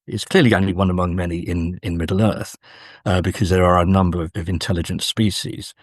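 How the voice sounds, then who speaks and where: A man's voice: low-pitched, fairly even intonation, fairly quick, dry recording, clean studio sound. A man speaking as an academic interview guest, in a scholarly, musing, stop-start way.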